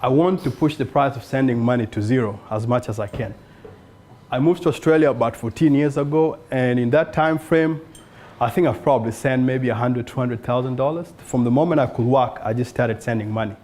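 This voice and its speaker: A man from South Sudan, a startup founder, giving an earnest pitch talk to an audience at an evening event. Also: a little fast, darkish tone. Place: event room in front of an audience.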